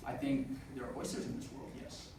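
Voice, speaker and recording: A man's voice: medium pitch, little pitch movement, fairly quick. Young man speaking in an echoey hall. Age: 20s. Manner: earnest, with frequent uh fillers. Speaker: speaker at a student business event. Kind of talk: motivational speech. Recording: echoey hall recording from far off, noisy.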